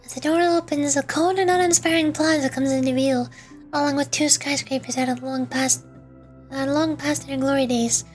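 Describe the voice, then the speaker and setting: A woman's voice: sits high, fairly even intonation, normal speed, soft background music. A woman VTuber on a game stream, chatting casually and reading the narration aloud.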